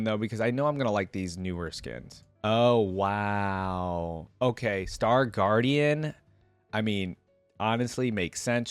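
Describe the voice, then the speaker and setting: A man's voice: fairly low pitch, dry recording. A man giving casual, informal reaction commentary with gamer slang, hosting for viewers. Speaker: host reacting to game content.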